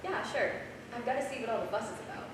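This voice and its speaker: A woman's voice: a little high, fast talker, natural voice. A woman acting a bold, teasing young science student in a staged play reading. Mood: playful, teasing. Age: young adult. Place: small theater stage. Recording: very echoey, noisy theater, far off.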